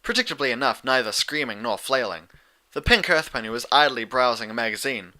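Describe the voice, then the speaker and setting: A man's voice: medium pitch, lively intonation, brisk, sharp tone. A man reading a story aloud as a scripted audiobook narrator.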